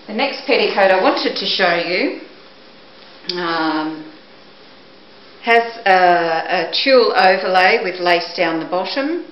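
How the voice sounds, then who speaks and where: A middle-aged woman shows auction items with a measured, descriptive delivery. Her voice sits slightly low and moves slowly. It is picked up by a camera microphone in a room at home, with a dull, muffled sound. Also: echoey room, a little hiss or hum. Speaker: auction presenter. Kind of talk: product showcase, descriptive talk. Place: indoors, living or dining room at home. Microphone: camera microphone a few steps away.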